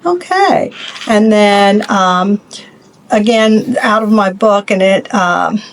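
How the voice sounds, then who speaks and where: A woman's voice: mid-range voice, takes it slowly, slight background noise. Chatty woman losing her voice. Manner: chatty, rambling, many ums and asides. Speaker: craft video host. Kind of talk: casual show-and-tell of crafts.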